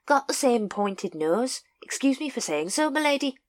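A cheeky, chirpy little city guardsman, as a woman puts him on while reading. He talks in a wheedling, ingratiating way, pitched higher than the reader's own voice. The recording is close and dry. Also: big pitch swings, moderate pace, no echo.

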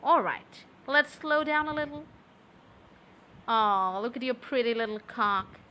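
A young adult woman speaking softly and close to the mic in an intimate audio roleplay, with a teasing, coaxing manner. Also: a little high, animated. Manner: soft, slow, teasing and coaxing.

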